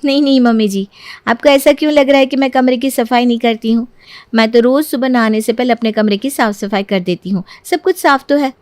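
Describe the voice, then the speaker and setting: A woman's voice: on the high side, brisk, darkish tone, no room sound, natural voice. A woman voicing a young newlywed daughter-in-law in a cartoon story, politely protesting and defensive.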